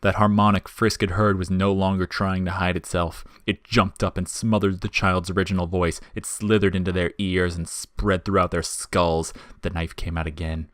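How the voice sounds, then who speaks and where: Male narrator, deep register, scripted dramatic storytelling, dry studio-close sound. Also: level tone, normal speed, few pauses, fairly bright tone, no echo.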